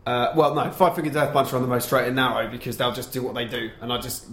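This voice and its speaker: Bloke hosting a podcast, cusses a lot, mid pitch, echoey room. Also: male voice, fairly even intonation, fast, a bit bright. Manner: swears freely, laughs often, asks the others questions. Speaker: podcast host. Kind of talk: casual chat. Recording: roomy echo.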